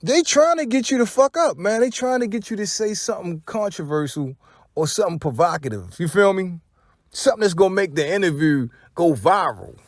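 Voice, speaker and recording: A man's voice: high-pitched, animated, brisk, no room sound, studio quality. An American man hosting casual gossip commentary, speaking in profane slang and posing rhetorical questions. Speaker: commentary channel host.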